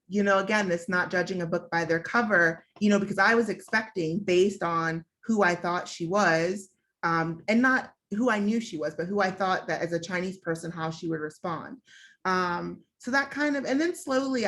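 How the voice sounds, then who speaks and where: A woman's voice: fairly low pitch, a bit bright. Candid young American woman telling a story. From United States. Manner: candid, reflective, with frequent "um" fillers. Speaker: panelist. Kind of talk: personal storytelling on a panel.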